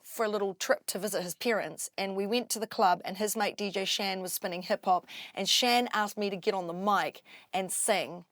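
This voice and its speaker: A young woman with a New Zealand accent and a low voice, an MC telling her story in a lively, animated way as a podcast guest. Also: normal speed, few pauses, dry recording, studio quality. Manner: lively, animated storyteller who acts out quotes. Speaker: drum and bass MC, guest. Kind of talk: casual interview storytelling.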